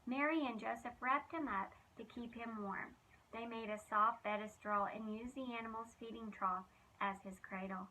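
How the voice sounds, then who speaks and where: A woman reading a children's storybook aloud in an expressive, dramatic way with emphatic phrasing. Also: a little high, normal speed, slight background noise, poor audio. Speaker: children's ministry reader.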